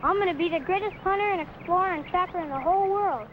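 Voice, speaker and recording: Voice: very high-pitched, quick pace. A young performer playing an eager, boastful frontier boy with an earnest manner, in 1950s radio adventure style. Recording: muffled lo-fi old radio, noisy.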